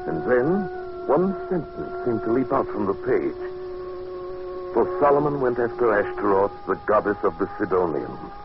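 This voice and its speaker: Uneasy gentleman narrator for vintage radio play: deep, musing, measured, dramatic, on a muffled old recording. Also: sing-song, music in the background. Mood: hushed, suspenseful. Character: male narrator Buchan, curious, uneasy house guest. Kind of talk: old time radio drama acting, first-person narration.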